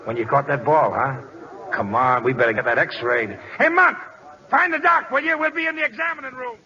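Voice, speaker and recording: A man playing a brash, hearty, excitable baseball boss in radio drama. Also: a little high, a little fast. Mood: concerned, bossy. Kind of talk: 1940s radio drama acting. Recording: dull old radio recording.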